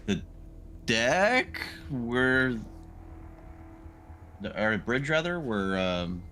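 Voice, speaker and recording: Very slow, bright-voiced man gaming. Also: mid-range voice, big pitch swings. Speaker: player in a tabletop game. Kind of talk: casual game-table chat. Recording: background noise on the mic.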